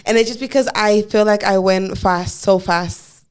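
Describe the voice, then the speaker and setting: Woman in her 20s, clear smooth voice, earnest rambling podcast chat, says like a lot. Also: medium pitch. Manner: earnest, drawn-out storytelling with lots of "like". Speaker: podcast co-host. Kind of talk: casual chat.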